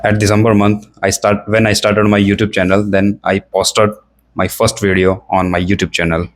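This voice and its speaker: A man's voice: lowish voice, little pitch movement, moderate pace. Hesitant young Indian man learning English. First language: Hindi or another Indian language. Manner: hesitant, searching for words, many fillers. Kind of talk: casual practice conversation.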